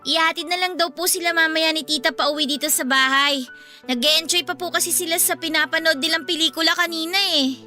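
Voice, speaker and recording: A woman's voice: extremely high pitch, fairly even intonation, a little fast, bright, forceful tone, faint music behind, exaggerated voice. A woman playing a polite, earnest young eldest daughter of about ten in a radio drama, anxious to please. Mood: eager, concerned.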